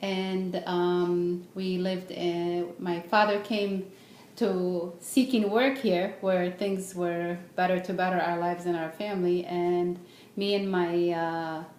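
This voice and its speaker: In an echoey room with some background noise, a middle-aged woman gives an informal speech about herself. Her voice sits fairly low, and she speaks haltingly, pausing often to find the next thought. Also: a little mellow. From born in Beirut, raised in the United States. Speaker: student speaker, registered nurse. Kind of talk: informal self-introduction speech.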